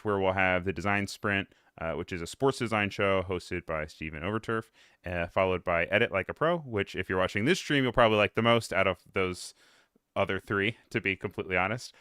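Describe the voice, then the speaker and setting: A man's voice: low-pitched, sharp tone, smooth, no echo, studio quality. A man hosting a live stream, chatting in an upbeat, casual way and running through the schedule.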